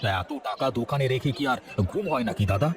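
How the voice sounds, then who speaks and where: A man's voice: mid-range voice, quick pace, over music, natural voice. A man voicing a kindly, trusting village grocer and husband in a cartoon. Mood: friendly, curious. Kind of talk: cartoon voice acting.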